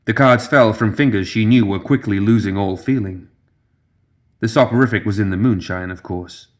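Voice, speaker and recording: A man's voice: on the low side, normal speed. A man reading scripted fantasy fiction as a dramatic audiobook narrator.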